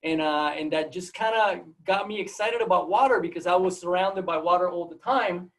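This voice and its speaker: High-voiced Puerto Rican man, slightly distant mic. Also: fairly quick, fairly bright tone. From Puerto Rico. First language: Spanish. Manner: long-winded, repeats words as he goes. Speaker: guest seminar speaker, biology professor. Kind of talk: informal storytelling academic talk. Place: remote video call.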